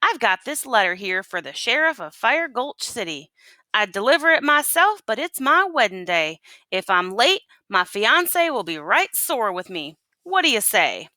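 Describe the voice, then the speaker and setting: A woman voicing a fast-talking male coyote in a Western storybook, persuasive, with a folksy cowboy drawl. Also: a little high, sing-song, pushed and bright, no echo. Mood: wheedling.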